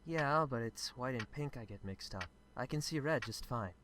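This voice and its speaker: An actor playing a calm male witness in a game, speaking in a measured, matter-of-fact way. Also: mid-range voice, natural voice. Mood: calm, firm. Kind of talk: game drama dialogue. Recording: clear ticking background noise.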